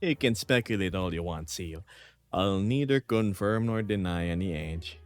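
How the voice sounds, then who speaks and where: A man's voice: low-pitched, moderate pace. Man chatting with his viewers over music. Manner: laughs often, reads chat aloud. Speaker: streamer. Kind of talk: casual livestream chat.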